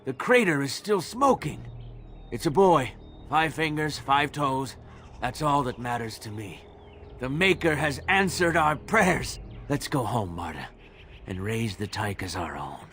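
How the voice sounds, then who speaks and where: A humble, tender-hearted farmer speaks with quiet awe in a dramatic video game scene. His voice sits at a middle pitch, with faint outdoor ambience behind it. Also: sing-song, unhurried, slight background noise. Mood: reverent, tender. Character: humble farmer man, awed, tender would-be adoptive father.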